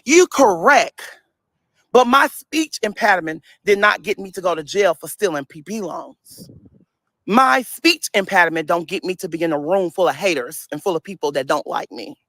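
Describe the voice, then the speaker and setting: A man's voice: high voice, expressive, moderate pace, a bit bright, dry recording. A man in his thirties from St. Louis with a speech impediment, ranting emphatically and laughing often in a casual vlog. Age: 30s. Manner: emphatic, repetitive, laughs often while talking. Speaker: social media personality. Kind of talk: casual livestream-style rant.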